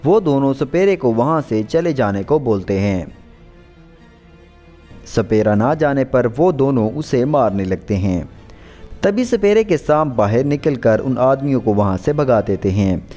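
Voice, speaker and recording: A man's voice: mid-range voice, expressive, unhurried, dark tone, soft background music. A man narrating a scripted children's story as a storyteller.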